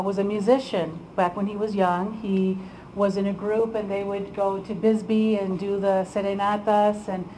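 A woman guest speaker talks casually to a room, filling gaps with ums and laughs. Her pitch sits in an ordinary middle range, with faint noise behind her. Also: a little mellow, poor audio. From Iowa, USA. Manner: chatty, with frequent ums and laughs. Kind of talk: informal lecture to an audience.

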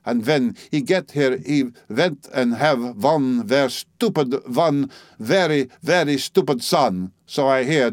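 A man's voice: mid-range voice, dry recording, clean studio sound, natural voice. A man voicing an indignant eighty-two-year-old French woman who speaks broken English with a French accent. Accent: put-on French accent.

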